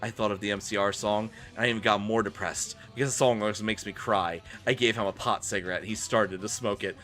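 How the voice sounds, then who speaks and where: A man's voice: fairly low pitch, fairly even intonation, a little fast, bright, forceful tone. A man giving a comic dramatic reading for a live stream, theatrical and often breaking off into amused asides. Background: music.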